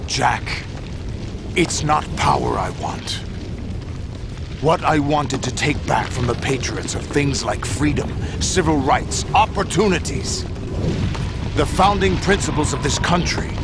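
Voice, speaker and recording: Grandiose clone villain, gravelly, preachy speeches, loud game music behind. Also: male voice, fairly high pitch. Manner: solemn, speechifying, rising to rallying cries. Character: aging male cloned warlord, grandiose rebel villain. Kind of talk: video game cutscene acting. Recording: game cutscene with loud orchestral score.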